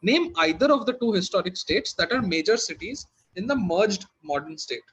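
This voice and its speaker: A man's voice: high-pitched, expressive, moderate pace, fairly bright tone, husky, rough recording. A man working as a quizmaster, reading out questions and hosting in a conversational way.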